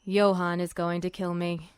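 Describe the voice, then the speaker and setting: A woman voicing a smirking, commanding military man with a deep, dry, weary delivery in a story reading. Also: level tone, moderate pace, smooth, dry recording, lightly acted.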